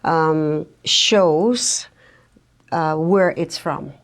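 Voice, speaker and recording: Middle-aged Surinamese woman, Dutch native, unhurried, slightly low, gravelly. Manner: slow, reflective, thoughtful with frequent pauses. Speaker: guest social worker. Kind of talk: podcast interview.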